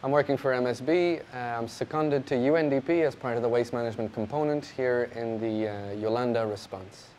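A man's voice: medium pitch, brisk, hardly any pauses, a little mellow, slight background noise. A man in his twenties from France, explaining his waste management work in an interview.

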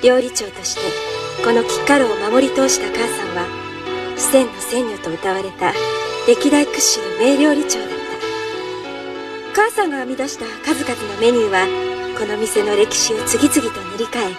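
A woman voicing a feisty, responsible young elder sister in a cartoon, brisk and earnest. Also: a little high, expressive, close to an everyday voice. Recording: distant sound with music.

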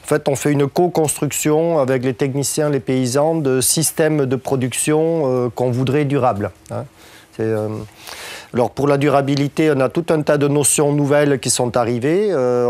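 A man's voice: mid-range voice, warm tone. A man in his forties with a slight southwestern French accent, giving a training talk to farmers in a meeting room, chatty and full of fillers and tag questions. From southwest France. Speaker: farm trainer. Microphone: lapel mic.

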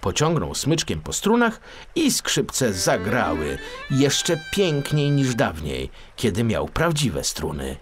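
A man's voice: mid-range voice, huge pitch swings, slow, faint music behind. A man narrating a scripted children's story expressively, as a storyteller.

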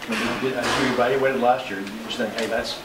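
Fast-talking male reporter, roomy and off-mic. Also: mid-range voice, steady tone, background noise. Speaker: sports reporter. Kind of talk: conversational press questioning. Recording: distant, roomy, loud crowd chatter.

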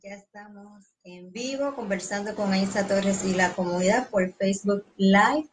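A woman's voice: mid-range voice, a little slow, background noise. A woman in her thirties with a Puerto Rican accent hosting a live stream, chatting and greeting viewers in a small room. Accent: Puerto Rican Spanish. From Puerto Rico. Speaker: host. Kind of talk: live stream host chat. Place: small indoor studio room.

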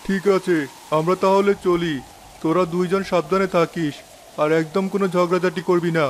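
A man's voice: high voice, little pitch movement, moderate pace, slight background noise, lightly acted. A man acting a determined, protective village fisherman father in a cartoon drama, with an emphatic delivery. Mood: resolute. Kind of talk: dramatic Bengali animated story acting. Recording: faint rain and storm ambience.

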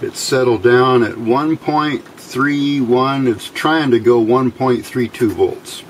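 A man giving an unscripted hands-on electronics demonstration in a measured, explanatory way, pausing between short phrases. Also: mid-range voice, rough recording. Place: indoors at a workbench. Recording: steady background room noise.

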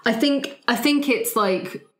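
A woman's voice: a little high, slow, fairly bright tone. A woman chatting casually as a player in a roleplaying group, brainstorming characters. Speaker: player in a tabletop roleplaying podcast. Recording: roomy, echoey sound.

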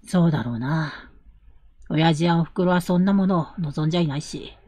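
A woman playing an earnest, protective young man, a rough-spoken elder brother, in a Japanese period audio drama. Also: sits low, no room sound, studio quality. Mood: bitter, resigned. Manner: earnest, measured, gently insistent.

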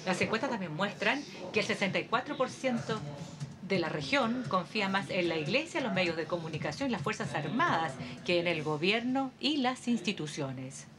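A woman giving a simultaneous interpretation of a formal conference speech in a steady, measured delivery with occasional hesitations. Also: medium pitch, crowd noise. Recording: audience murmur beneath the voice.

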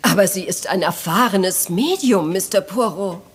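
A woman playing an outspoken, scheming niece after an inheritance in a German crime radio drama, husky and forthright. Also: mid-range voice, moderate pace, faint music behind, natural voice. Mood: composed. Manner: forthright, impatient, pushy.

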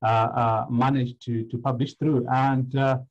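Male academic from Zimbabwe, halting delivery, muffled video-call sound. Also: medium pitch, level tone. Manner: hesitant, many "uh" fillers. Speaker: guest speaker, economic historian. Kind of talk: academic online presentation. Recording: dull lo-fi video call audio.

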